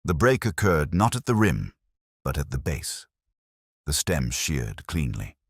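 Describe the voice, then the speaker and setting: Guy doing a dry clipped detective for an audiobook, super deep, bit husky. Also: sing-song, a little slow, dry recording, full character voice. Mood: incisive. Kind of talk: audiobook character dialogue.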